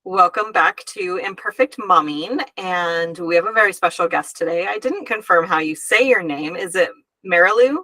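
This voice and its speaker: A woman hosting a podcast interview, friendly and relaxed, laughing easily while chatting with her guest. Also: on the low side, normal speed, few pauses, no room sound, soft background music, poor audio. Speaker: podcast host. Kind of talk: casual interview chat.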